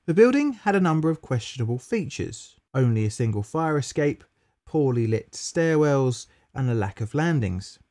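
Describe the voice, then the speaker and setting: A man at a middle pitch narrating a history documentary from a script. His delivery is measured and matter-of-fact, with stresses on key words, recorded close on a voice-over mic. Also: very animated, darkish tone.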